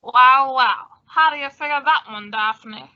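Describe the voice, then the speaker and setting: A woman's voice: sits high, a little slow. A woman playing a sly, sardonic accomplice in a con, wry and knowing, in a radio comedy. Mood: wry, smug. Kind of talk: 1950s radio comedy acting. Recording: dull old-time radio recording.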